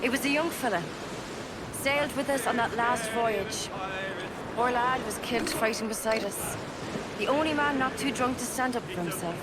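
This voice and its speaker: An actress playing a bold, tough young pirate quartermaster in a game cutscene. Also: female voice, a little high, normal speed, a loud crowd, lightly acted. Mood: reassuring. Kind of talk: dramatic game cutscene acting. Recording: loud music under the voice.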